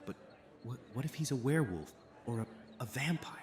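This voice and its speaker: A man's voice: mid-range voice, lively intonation, fairly quick, a little mellow, close to an everyday voice. An actor playing a nervous, suspicious young Roman man in a fantasy audio drama, hesitant and wary.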